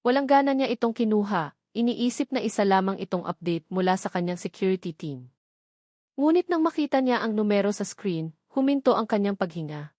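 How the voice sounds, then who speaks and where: A woman reads a scripted romance drama as its narrator. Her pitch is middling for a woman. The recording is dry, with hardly any room sound. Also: expressive, a little fast, darkish tone, no room sound. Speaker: story narrator.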